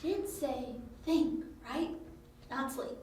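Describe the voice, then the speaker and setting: A woman's voice: high voice, takes it slowly, darkish tone, distant mic. A woman playing a cheeky, casual young female sidekick in an amateur audio drama. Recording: noisy, echoey room, off mic.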